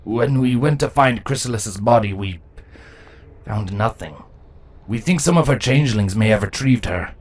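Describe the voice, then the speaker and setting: A man's voice: lowish voice, animated, moderate pace. A man voicing a dutiful, anxious changeling drone captain who speaks deferentially in a fantasy story reading. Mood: cautious, questioning. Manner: deferential, hesitant, nervous. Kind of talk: dramatic fan fiction audio reading.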